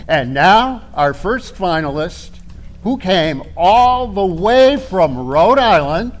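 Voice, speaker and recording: A man's voice: high voice, very animated, slow, exaggerated voice. A man playing a proud, formal master of ceremonies announcing contestants on a live stage. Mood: proud, grand. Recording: noisy live theater recording with clicks.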